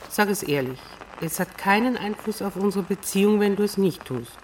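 An actress plays an insistent, searching woman questioning her partner in a radio drama. She is heard from away from the microphone, with clear noise around her and a middle-range voice. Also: mid-range voice, animated, normal speed, lightly acted. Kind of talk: radio play dialogue. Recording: distant mic, clear noise, clicks.

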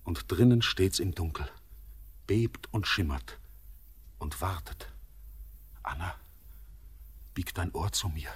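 A haunted young miner in a classic radio play. He is torn and feverish, and he speaks in hushed, anguished tones. His voice is husky and fairly low. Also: expressive, slow pace, long pauses, heavily acted. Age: young adult. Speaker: Elis, the miner.

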